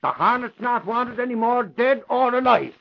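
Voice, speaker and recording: Excitable reporter, extremely high-pitched male voice, blustering, stubborn, radio play, dull vintage recording. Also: normal speed, gravelly, exaggerated voice. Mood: indignant, stubborn. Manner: folksy, blustering exclamations. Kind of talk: old-time radio drama acting.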